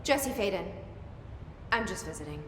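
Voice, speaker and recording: A woman's voice: lowish voice, lively intonation, moderate pace, background noise, natural voice. A woman playing a guarded, plain-spoken young director in a video game, with terse, understated, natural delivery. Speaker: agency director character.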